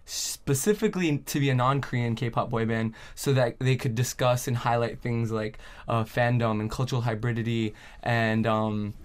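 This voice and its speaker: A young man, a band leader guest in a radio studio, explaining at a steady pace with frequent pauses. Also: mid-range voice. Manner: measured, many "uh" and "um" pauses. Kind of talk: explaining, casual interview. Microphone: studio mic on a stand.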